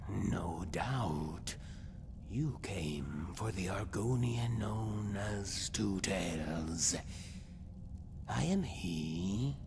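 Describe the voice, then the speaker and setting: Slow, gravelly, boastful lizard-man. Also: deep voice, noisy background, heavily acted. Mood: self-important. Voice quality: gravelly, breathy rasp. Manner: slow, pompous, theatrical storytelling. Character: boastful, eccentric Argonian lizard-man, self-proclaimed hero. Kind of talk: fantasy video game character monologue.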